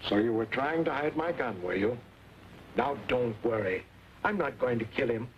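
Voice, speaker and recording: An actor playing a stern, proud middle-aged army colonel with a formal, authoritative manner in an old western. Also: male voice, mid-range voice, expressive, moderate pace, close to an everyday voice. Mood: confident. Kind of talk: old-time western film acting. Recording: noisy, muffled vintage western soundtrack.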